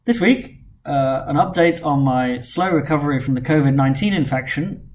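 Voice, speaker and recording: A man's voice: mid-range voice, darkish tone, a little hiss or hum. A man in his thirties recording a weekly vlog at home, talking earnestly and steadily while worn out from a long illness. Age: 30s. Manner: measured, earnest, plain-spoken with frequent "uh" fillers. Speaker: vlogger and company founder. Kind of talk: weekly vlog update to camera. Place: home room with bookshelves, indoors.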